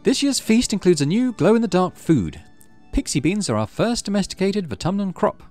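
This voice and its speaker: A man's voice: high-pitched, sing-song, moderate pace, darkish tone, soft background music, voice pushed up. A man voicing a confident woman farmer in a game, declaiming as if giving a public speech. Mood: announcing. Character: confident woman farmer addressing a colony crowd.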